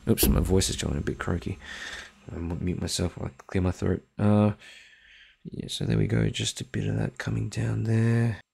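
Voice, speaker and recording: A young man, talking through a watercolor painting step by step in a relaxed, chatty way while he works, his voice a little croaky, recorded indoors at his desk on a webcam mic. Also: on the low side, normal speed. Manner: relaxed, chatty, thinks aloud while working. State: slightly croaky voice. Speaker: watercolor teacher. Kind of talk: live painting demonstration. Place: home studio, indoors.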